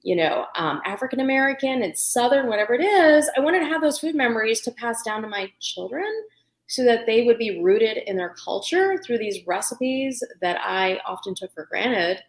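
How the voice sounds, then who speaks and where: A middle-aged woman, raised in the United States, with a light Indian accent, telling stories as an interview guest in a lively, chatty way. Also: fairly high pitch, normal speed. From India, raised in Pennsylvania. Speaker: guest, food blogger and cookbook author. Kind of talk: conversational interview storytelling. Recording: roomy echo.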